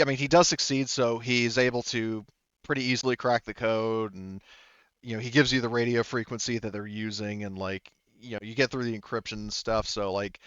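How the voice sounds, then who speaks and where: A man's voice: mid-range voice, fairly bright tone, clean tone, no room sound, lo-fi sound. A man running a tabletop game as game master, improvising the narration casually in a rambling, hesitant way, thinking aloud.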